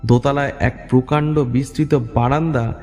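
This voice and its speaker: A man's voice: medium pitch, fairly even intonation, normal speed, a little mellow. A man narrating a horror story in a dramatic, atmospheric way. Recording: long reverb, music underneath. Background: music.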